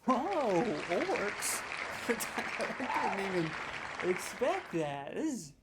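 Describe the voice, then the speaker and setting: Excitable rambling man hosting gaming comedy. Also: high-pitched, very slow, over loud music, over a noisy crowd. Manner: excitable, rambling, sarcastic, swears freely. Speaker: gaming video host.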